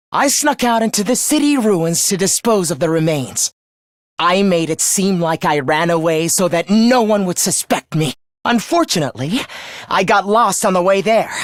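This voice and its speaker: A man's voice: sits high, dry recording, studio quality. A man playing a contemptuous, human-hating young villain in a video game, theatrical and scheming in his delivery. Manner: theatrical, contemptuous, swinging from hushed menace to shrieking. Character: young male traitor, genocidal, gloating, human-hating schemer. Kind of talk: video game voice acting, villain confession monologue.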